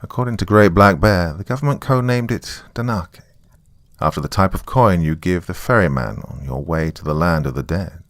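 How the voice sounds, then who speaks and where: A man's voice: low-pitched, expressive, normal speed, a bit bright, no room sound. A man narrating a first-person horror story in a conversational, wry way.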